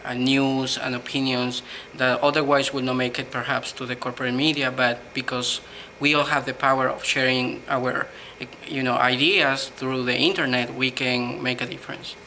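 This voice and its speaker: A man from Peru with a Peruvian Spanish accent, answering interview questions in earnest, measured English with frequent ums. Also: mid-range voice, level tone, bright, forceful tone, a little hiss or hum, rough recording. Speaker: blogger, interview guest.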